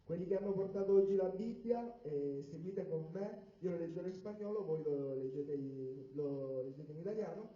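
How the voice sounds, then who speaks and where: A male interpreter with a dark, mellow voice, relaying a sermon phrase by phrase and sometimes stumbling and restarting. The recording is distant, roomy and dull, from a church hall. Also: fairly high pitch, moderate pace, hardly any pauses. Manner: translates phrase by phrase, with occasional restarts and hesitations.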